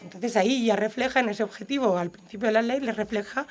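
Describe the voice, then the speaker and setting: Woman on local radio, explains stuff clearly, bit high voice. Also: fast, faint music behind. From Siete Aguas, Valencia, Spain. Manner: explanatory, reads out law text, lively examples. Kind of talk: radio discussion.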